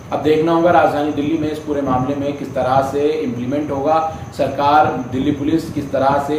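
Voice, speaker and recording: A man presenting a news report with commentary in an emphatic way, repeating his points. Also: medium pitch. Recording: echoey, distant mic, noisy background.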